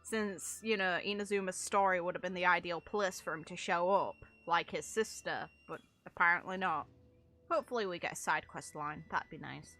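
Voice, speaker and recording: A woman's voice: lowish voice, normal speed, sharp tone, soft background music. A woman giving casual, chatty reaction commentary on video game dialogue, with informal slang and mild swearing. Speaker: streamer reacting to game voice lines.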